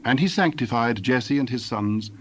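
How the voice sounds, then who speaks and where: Male Bible narrator, mid-range voice, solemn and measured, faint background noise. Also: medium pitch, lively intonation. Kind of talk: scripted scripture narration.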